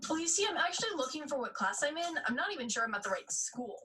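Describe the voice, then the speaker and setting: Woman acting a high, bright, indignant teenage girl. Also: sing-song, fast talker, recorded from a distance, heavily acted. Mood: awkward. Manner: blunt, protesting. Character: indignant teenage girl who looks young for her age. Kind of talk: scripted audio drama acting.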